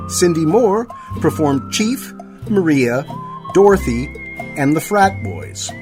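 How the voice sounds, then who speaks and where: Woman, radio announcer, scripted outro read, plucked guitar music underneath. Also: low-pitched. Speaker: announcer reading the closing credits.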